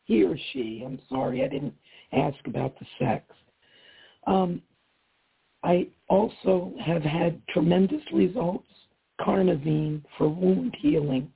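A hoarse woman caller speaks slowly and hesitantly, her voice raspy and gravelly. She is heard over a thin, tinny phone line. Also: on the low side, big pitch swings. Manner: slow, hesitant, many ums.

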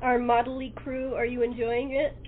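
A woman hosting a casual cast interview as the director, speaking in a halting way. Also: a little high, flat delivery. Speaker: host and radio play director. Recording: tinny phone-like line with noise.

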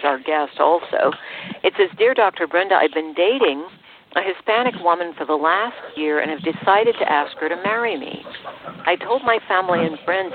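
A woman hosting a talk show, speaking to listeners in a personal advice style with emphatic, deliberate phrasing. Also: lowish voice, narrow, tinny audio, background noise. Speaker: radio show host. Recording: band-limited radio-style sound.

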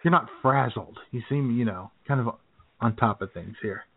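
A man's voice: medium pitch, normal speed, breathy edge. American man co-hosting, thin tinny audio. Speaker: radio show co-host. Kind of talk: casual comedic banter. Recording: tinny band-limited remote audio.

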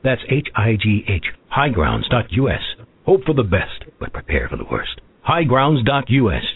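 A man with a husky voice reads a punchy radio commercial, his pitch moving lively up and down. The sound is thin and narrow, like a phone line. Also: normal speed. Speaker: commercial announcer. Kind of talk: upbeat scripted radio ad. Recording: tinny phone-like broadcast.

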